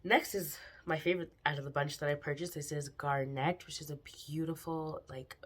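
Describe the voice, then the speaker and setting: A young woman with a slightly raspy voice from a cold, chatting through a casual nail polish haul vlog with lots of ums. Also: low voice, fairly even intonation, moderate pace. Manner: chatty, frequent ums, bubbly about products.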